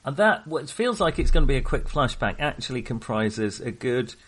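A man co-hosting a casual film discussion, speaking hesitantly and often halting mid-thought to start again. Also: mid-range voice, very animated, a bit bright. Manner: hesitant, halting, trailing into half-finished thoughts. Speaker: co-host of a film discussion show.

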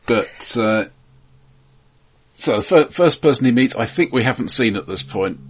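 A man casually recapping a film as a podcast co-host, halting and wry. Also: mid-range voice, animated, breathy edge.

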